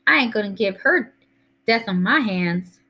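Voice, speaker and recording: A woman's voice: mid-range voice, expressive, a little slow, pushed and bright, full character voice. A woman voicing a cool, street-smart teenage boy who talks slangily out of the corner of his mouth in a storybook reading. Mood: scornful.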